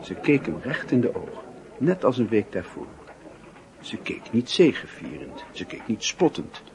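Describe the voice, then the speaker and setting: A man's voice: fairly low pitch, very animated, measured pace, a little mellow, slight background noise, poor audio. A man reading scripted literary narration for a radio drama.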